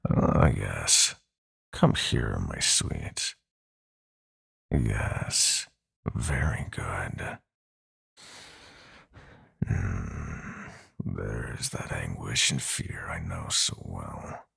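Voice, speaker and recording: Audio roleplay villain: possessive, sadistic nightmare god. Heavy gravel, unhurried, theatrically swooping menace. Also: male voice, very deep voice, expressive, a bit bright, exaggerated voice. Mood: gloating menace. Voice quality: gravelly, husky, breathy growl. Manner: slow, taunting, theatrical purring.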